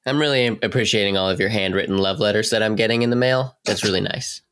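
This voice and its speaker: A man in a podcast cast, chatting casually in a halting, loosely rambling way. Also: lowish voice, a bit bright, clean tone. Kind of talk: casual chat.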